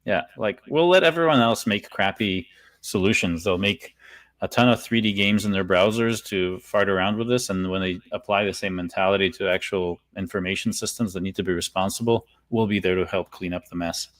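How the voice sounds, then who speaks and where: A man's voice: low-pitched, fairly quick, a bit bright, no echo. A middle-aged man from Poland chatting casually with enthusiastic emphasis and informal wording. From born in Poland. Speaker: guest speaker and software practitioner. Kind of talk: casual chat.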